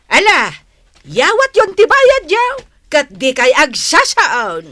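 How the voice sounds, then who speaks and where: A woman's voice: sits high, huge pitch swings, slow pace, sharp tone, exaggerated voice. A woman playing a bossy, exasperated shopkeeper auntie in an Ilocano radio comedy, fussy and exclamatory, with an Ilocano accent. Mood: flustered scolding. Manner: drawling, fussy, shushing, exclamatory.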